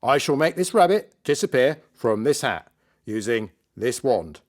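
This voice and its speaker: A man's voice: mid-range voice, very animated, unhurried. A man voicing a nasty, blustering party magician with sneering showman patter in a children's storybook. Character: nasty loud male children's party magician, mocking and cowardly.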